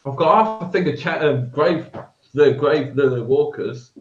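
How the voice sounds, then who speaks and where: A man, chatting casually as a show co-host, animated and quick to laugh. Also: on the high side, faint music behind. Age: 30s. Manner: animated, laughing, trails off and restarts. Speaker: podcast co-host. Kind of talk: casual chat about tabletop miniatures. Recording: echoey room, dull lo-fi sound.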